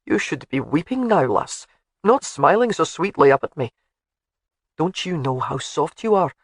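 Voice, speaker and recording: A woman's voice: sits low, very animated, normal speed, no room sound, clean studio sound. A woman voicing a commanding, protective laird in an audiobook who gives firm, clipped orders.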